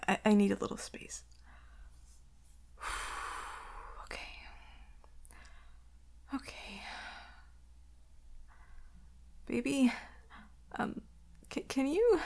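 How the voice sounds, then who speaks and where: A woman playing an anxious, tender werewolf girlfriend in an intimate ASMR roleplay, stammering and hesitant in a breathy half-whisper. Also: fairly high pitch, a little mellow, heavily acted. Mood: nervous, hesitant. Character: anxious, tender werewolf girlfriend, scared of hurting her partner.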